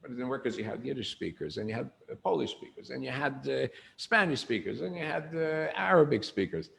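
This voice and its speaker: An older man's gravelly, mid-pitched voice carries an informal lecture. He talks like a storyteller, puts questions to the room and then answers them. His emphasis jumps up and down from phrase to phrase. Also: animated, fairly quick, a bit bright.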